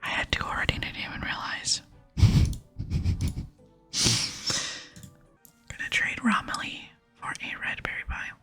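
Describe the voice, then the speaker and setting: Asmr gamer whispering, super breathy n crisp sibilant brightness, casual thinking out loud, music behind. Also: fast talker, few pauses. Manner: casual, murmured thinking aloud. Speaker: gaming ASMR host. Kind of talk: ASMR gameplay commentary, reading dialogue aloud.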